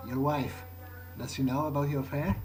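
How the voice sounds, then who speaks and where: A man thinking aloud in character during a game playthrough, musing and halting as if talking to himself. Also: mid-range voice, little pitch movement, darkish tone, faint music behind. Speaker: gameplay video player.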